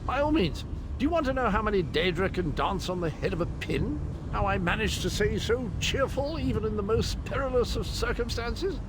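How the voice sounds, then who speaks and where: A jolly old British knight from a video game, pitched high and very animated. He is chummy, eccentric and breezily unflappable, with ambient noise clearly behind him. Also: moderate pace. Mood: jolly, breezy. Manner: jaunty, posh old-fashioned chumminess. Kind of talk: video game character dialogue.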